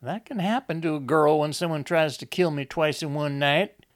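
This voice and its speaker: Audiobook role: scared, insistent young rural woman, performed by a man, raised pitch, urgent folksy delivery. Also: moderate pace, lightly acted. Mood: accusing. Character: wounded, frightened, pleading rural Southern young woman.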